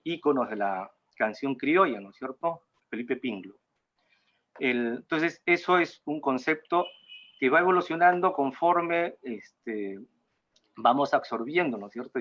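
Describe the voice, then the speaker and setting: A mature man with a Peruvian accent gives an informal talk in a halting way, often tagging phrases with a question seeking agreement. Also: mid-range voice, fairly bright tone, highs cut off. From Peru. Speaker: guest speaker at a cultural talk. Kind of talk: informal lecture in an online talk.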